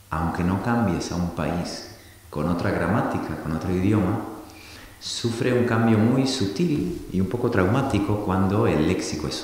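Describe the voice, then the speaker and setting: A middle-aged man from Argentina giving a reflective public talk, thoughtful and halting, often restarting his sentences. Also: sits low, darkish tone. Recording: echoey hall recording from a distance with faint hiss.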